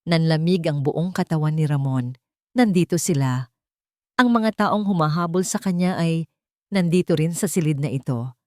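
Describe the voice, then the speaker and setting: A woman's voice: deep voice, lively intonation, normal speed, darkish tone, dry recording, studio quality. A woman reading scripted narration in a dramatic storytelling style.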